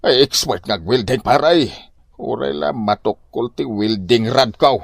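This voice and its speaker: A man's voice: medium pitch, measured pace, gravelly, dry recording, clean studio sound. A man playing a grumbling, put-upon old Filipino husband with an Ilocano accent in a broad comic drama. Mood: whining. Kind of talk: broad comic radio-style drama acting.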